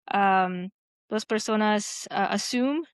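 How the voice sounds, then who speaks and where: A woman whose first language is Hindi speaks learner Spanish slowly and haltingly. Her voice is clear and smooth, recorded dry and close. She fills pauses with "am" and slips English words in between. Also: medium pitch, dry recording. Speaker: podcast guest. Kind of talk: casual conversation in learner Spanish.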